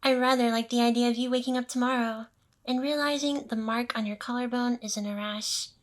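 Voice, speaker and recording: A woman voicing a smug, possessive ancient demoness boss in an intimate, seductive ASMR roleplay, with teasing pauses. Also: fairly high pitch, steady tone, natural voice. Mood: teasing, intrigued.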